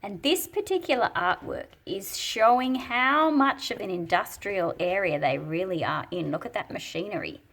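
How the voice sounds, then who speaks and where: A woman reading a storybook aloud and explaining it as she goes, in an expressive, deliberate manner with a slightly husky, breathy quality. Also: mid-range voice. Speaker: picture book read-aloud host. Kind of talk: storybook read-aloud with teaching commentary.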